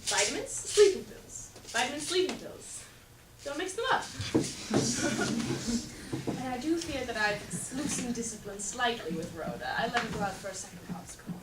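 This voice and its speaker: A woman's voice: on the high side, sing-song, moderate pace. A woman playing a bustling, self-assured older neighbour in a stage play, chatty and kindly bossy. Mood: chatty, fussy. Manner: chatty, fussy. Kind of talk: theatrical stage acting. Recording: echoey stage, distant mic, loud noise.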